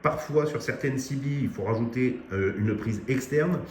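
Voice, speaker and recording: A man's voice: mid-range voice, moderate pace. A man casually demonstrating a CB radio to viewers, chatty and full of fillers. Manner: chatty, many fillers, addresses viewers directly. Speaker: radio equipment reviewer. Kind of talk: casual product demo. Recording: echoey room, faint noise.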